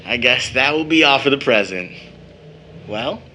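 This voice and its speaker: An emphatic young man, bright, animated voice. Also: medium pitch, a little hiss or hum, low-quality recording. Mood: playful, affectionate. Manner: dramatic, emphatic delivery with long pauses. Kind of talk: stage-style audio drama acting.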